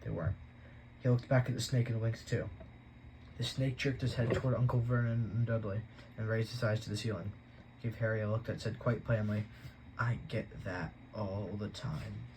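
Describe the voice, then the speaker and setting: A man reading a novel aloud at a steady pace, with an occasional stumble he corrects. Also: lowish voice, fairly quick, noisy background. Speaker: audiobook reader.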